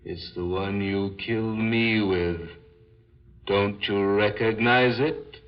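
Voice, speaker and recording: An actor playing the taunting, deliberate ghost of a murdered man in a 1940s radio horror drama. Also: lowish voice. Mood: slow menace. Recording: muffled vintage 1940s radio recording, music behind.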